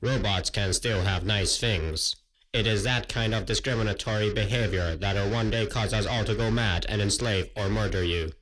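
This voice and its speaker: An actor playing an officious robot janitor in a sci-fi comedy radio play, with formal, deadpan, dryly contemptuous delivery. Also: male voice, lowish voice, normal speed, rough recording. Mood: dryly indignant.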